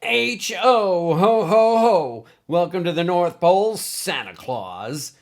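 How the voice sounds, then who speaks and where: A man's voice: sits high, animated, slow, vocal fry, pitched above the performer's own voice, exaggerated voice. A man voicing an exuberant, teasing best friend in his thirties, boisterous and crowing. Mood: teasing glee.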